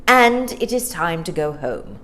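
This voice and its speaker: A woman's voice: on the low side, sing-song, unhurried, sharp tone, full character voice. A woman voicing a vain, haughty nanny from a storybook, curt and prim in manner. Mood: snappy.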